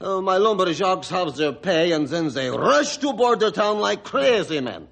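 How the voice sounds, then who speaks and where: A man playing a huge, hearty, good-natured French-Canadian lumberjack in a radio drama, speaking halting broken English. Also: high-pitched, expressive, gravelly, fairly bright tone, full character voice. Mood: earnest, troubled. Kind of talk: old-time radio drama acting.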